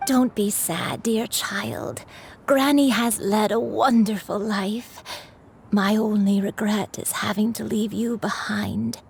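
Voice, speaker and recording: A woman voicing a gentle elderly grandmother, a crane in human form, speaking tenderly in a game cutscene. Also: medium pitch, gravelly, slight background noise. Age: older. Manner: tender, slow and soothing.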